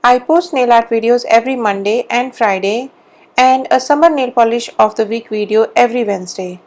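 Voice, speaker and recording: A woman hosting a nail art channel, explaining each step in a clear, steady voice-over. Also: fairly high pitch, a little fast. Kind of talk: voice-over tutorial narration. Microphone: voice-over mic, not on camera.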